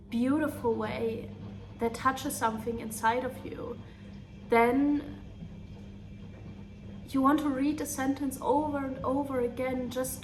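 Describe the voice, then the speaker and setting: A young German woman, a native German speaker, talking earnestly in a soft, unhurried way about writing her novel. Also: a little high, a little hiss or hum, rough recording. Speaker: author reading her own novel. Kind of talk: reflective vlog talk.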